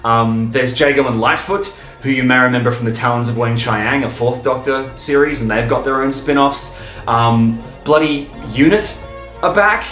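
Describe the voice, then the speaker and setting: A man hosting a fan video, talking in an enthusiastic, informal, emphatic way, stopping and starting with pauses for breath. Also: mid-range voice, a bit bright, echoey room, faint music behind. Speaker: video host and fan reviewer.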